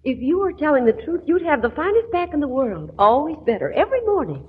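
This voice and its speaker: A breezy young nightclub dancer, chummy and slangy. Her highish voice swoops up and down, heard through a very narrow, muffled vintage radio recording. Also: sing-song, fairly quick. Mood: breezy, teasing. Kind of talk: 1940s radio drama acting.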